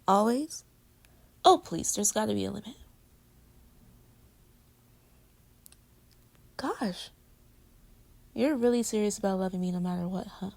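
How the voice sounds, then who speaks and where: A woman's voice: mid-range voice, creaky, darkish tone. A woman playing a playful, affectionate girlfriend in a casual, intimate pillow-talk roleplay, teasing her partner. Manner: casual, teasing, conversational with giggles. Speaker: audio roleplay voice actor.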